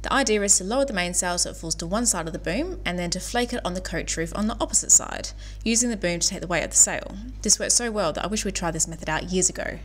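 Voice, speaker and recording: A woman reading scripted voice-over narration for a sailing vlog, brisk and explanatory. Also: on the low side, a little hiss or hum.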